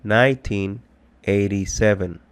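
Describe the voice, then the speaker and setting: A man with a fairly low voice gives a bilingual language lesson. He speaks very slowly and deliberately, translating and repeating each phrase. The sound is dry, with little room around it. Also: clear, resonant tone, no echo. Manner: deliberate, repetitive, explanatory. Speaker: English teacher.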